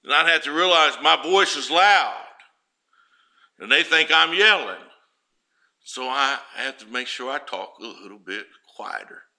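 A male preacher speaks in a plain, homespun way and leans on everyday examples. His voice is naturally loud and very bright, almost cutting, and it climbs in pitch when he drives a point home. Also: expressive, a little slow. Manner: homespun, storytelling, rises loud for emphasis. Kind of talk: folksy sermon.

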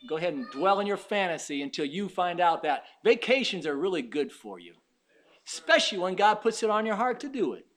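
A man in his fifties preaching a sermon, emphatic, swinging from loud urging to hushed asides and acting out his listeners' objections. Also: high-pitched, sing-song, fairly quick. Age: 50s. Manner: acts out the doubting listener's objections. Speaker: pastor.

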